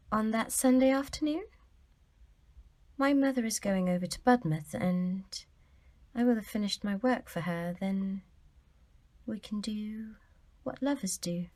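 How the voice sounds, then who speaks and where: Actress as a slow-spoken shy young sweetheart. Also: female voice, on the high side, natural voice. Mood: coy, inviting. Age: young adult. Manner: demure, hesitant, softly spoken. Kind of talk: audio drama dialogue.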